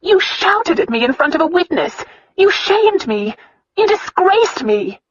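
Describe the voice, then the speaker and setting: A woman's voice: very high-pitched, big pitch swings, a little slow, muffled recording, pitched above the performer's own voice, heavily acted. A narrator voicing a proud, fiery young noblewoman who talks in an indignant, demanding way.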